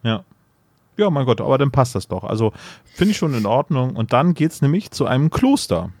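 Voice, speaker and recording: A man's voice: mid-range voice, very animated, moderate pace, a little mellow, dry recording. A man co-hosting a podcast, chatting casually about a story with joking interjections. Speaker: podcast co-host. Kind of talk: casual chat and commentary.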